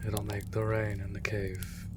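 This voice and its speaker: A man playing a gentle, world-weary monster hunter in an intimate comfort roleplay, speaking in breathy half-whispers with halting delivery. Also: on the low side, level tone, background noise, natural voice. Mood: shy, warm. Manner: slow, halting, hushed delivery. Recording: loud rain and cave ambience. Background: rain in a cave.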